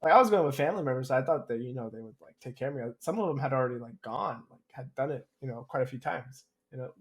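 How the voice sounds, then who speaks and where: A man's voice: mid-range voice, racing. A man co-hosting a podcast, telling stories in casual slang and acting out what other people said. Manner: animated slangy retelling, quoting others in dialogue. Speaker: podcast co-host. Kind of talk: casual chat, storytelling.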